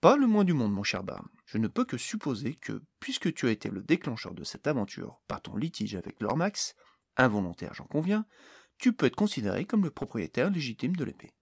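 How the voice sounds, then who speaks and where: A man voicing a composed magician in a fantasy audiobook, formal, measured and wry. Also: mid-range voice, big pitch swings, gravelly, husky, dry recording, clean studio sound, heavily acted. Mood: wry, speculative. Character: composed magician, expert in magical objects, courteous, speculative.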